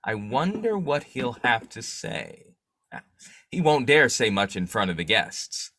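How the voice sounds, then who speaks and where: A man playing a shrewd, determined newspaper boss and secret vigilante in a 1940s-style radio drama, musing deliberately. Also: mid-range voice, sing-song, sharp tone, heavily acted. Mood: scheming, musing. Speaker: actor in an old-time radio drama revival.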